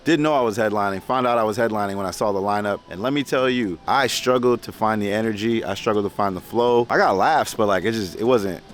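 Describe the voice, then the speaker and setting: A young man talking casually to camera in a vlog, relaxed and reflective. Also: fairly low pitch, fast, hardly any pauses, a little hiss or hum. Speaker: vlogger.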